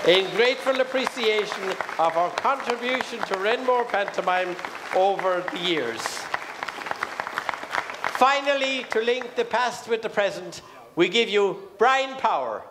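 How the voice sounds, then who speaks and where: A man with an Irish accent making formal, measured announcements as master of ceremonies on a theatre stage. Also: sits high, lively intonation, over a noisy crowd. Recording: live theatre stage with audience. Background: audience noise.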